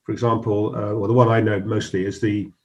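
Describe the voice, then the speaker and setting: A man's voice: lowish voice, flat delivery, a little fast, a little mellow, dull audio. A middle-aged man hosting a business talk show, interviewing a guest in a friendly, hesitant way with frequent restarts and fillers. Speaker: host.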